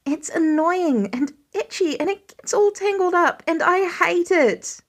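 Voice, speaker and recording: A woman's voice: extremely high pitch, animated, unhurried, voice pushed up. A woman voicing a frustrated teenage boy who grumbles and mumbles in a narrated story. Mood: irritated. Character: sulky, frustrated teenage boy, caring older brother.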